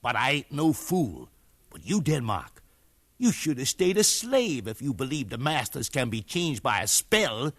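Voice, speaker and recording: An actor playing a sly, eerie slave conjurer, chanting and teasing in 1950s radio drama style. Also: male voice, mid-range voice, sing-song, unhurried, a bit bright. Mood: mocking, suspicious. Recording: remastered 1950s radio broadcast.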